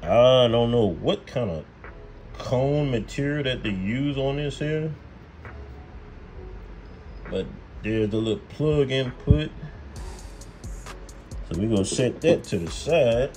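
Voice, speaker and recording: An American man talks through a hands-on job in a casual, folksy way, thinking aloud as he goes. His voice sits at an ordinary male pitch, with music clearly playing behind him. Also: lively intonation, slow pace, long pauses, a little mellow. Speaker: host, car mechanic. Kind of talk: casual hands-on how-to chat to camera.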